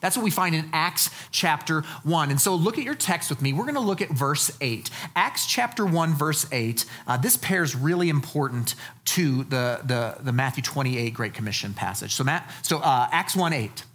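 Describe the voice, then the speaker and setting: A man's voice: a little high, expressive, moderate pace, few pauses, a bit bright. An American man in his forties preaching a conversational sermon, emphatic and folksy, repeating phrases for weight. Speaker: pastor. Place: church stage, indoors.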